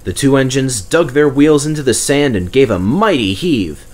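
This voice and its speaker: A man's voice: mid-range voice, expressive, normal speed. A man narrating a children's story from a script as the storyteller.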